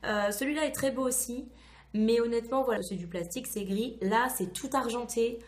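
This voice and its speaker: Casting: woman beauty vlogger, highish hoarse voice, chatty and enthusiastic, recorded in a reverberant room. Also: moderate pace, a little hiss or hum. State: hoarse, recovering from lost voice. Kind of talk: casual product review to camera.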